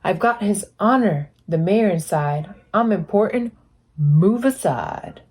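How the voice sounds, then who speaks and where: A woman's voice: mid-range voice, animated, takes it slowly, darkish tone, slightly rough. A woman voicing a proud, self-important limousine in a children's story, pompous and demanding.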